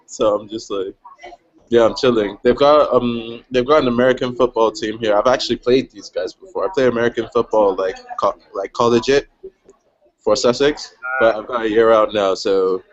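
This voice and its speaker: A man's voice: mid-range voice, normal speed, highs cut off. A young man who grew up in Switzerland, a guest rapper chatting casually in a laid-back, slangy way with frequent laughter. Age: young adult. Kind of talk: casual chat.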